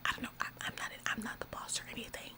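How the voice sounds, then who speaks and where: A woman's voice: rapid-fire, close to an everyday voice. A woman in a whispered ASMR roleplay, playing a bossy, officious store employee who repeats phrases for emphasis. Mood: self-important. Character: bossy, overzealous female retail store employee, falsely apologetic. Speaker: ASMR performer.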